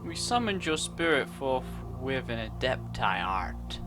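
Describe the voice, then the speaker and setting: A woman's voice: very deep voice, very animated, measured pace. A woman doing casual game playthrough commentary and reading dialogue aloud. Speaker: gamer playing a story quest. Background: game music.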